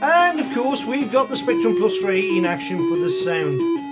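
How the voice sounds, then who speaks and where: A man with a croaky, rather high voice hosts a casual retro computer show from his hobby room. He rambles along chattily, full of ums and asides, as chiptune music plays clearly behind him. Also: sing-song, normal speed. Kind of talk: casual rambling review chat. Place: home hobby room with computers and a soldering bench. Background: chiptune music playing from a computer.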